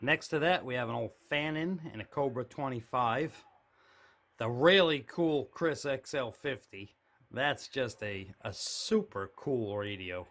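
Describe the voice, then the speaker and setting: A man's voice: mid-range voice, animated, measured pace, a bit bright. A man giving a casual, unscripted show-and-tell as a hobbyist, speaking in a plain, matter-of-fact way behind a handheld camera indoors. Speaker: CB radio hobbyist giving a tour of his radio shack. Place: indoor room with shelves of radios.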